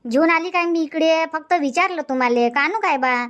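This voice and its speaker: A woman's voice: sits high, a little fast, fairly bright tone. A woman voicing a bossy, chatty farmer's wife in a comic cartoon, with a rustic Varhadi Marathi accent. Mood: insistent. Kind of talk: comic cartoon dialogue dubbing.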